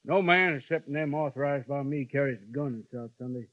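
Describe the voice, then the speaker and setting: An actor playing a folksy, controlling frontier sheriff in a 1950s radio western, laconic and authoritative, with an American western accent. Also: male voice, mid-range voice, a little fast, no echo, close to an everyday voice. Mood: wary, authoritative. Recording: muffled, dry vintage radio broadcast.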